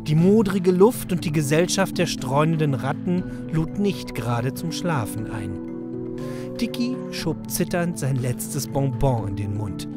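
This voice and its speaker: A man delivering scripted narration as the storyteller of a children's audio drama. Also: medium pitch.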